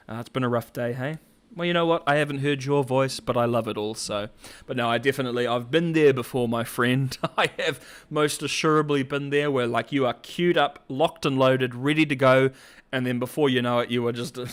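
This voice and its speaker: A man's voice: mid-range voice, normal speed, clean tone. Chatty young man, laughing, rambling commentary. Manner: animated, laughs often, filler-heavy rambling. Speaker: host. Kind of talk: casual livestream commentary.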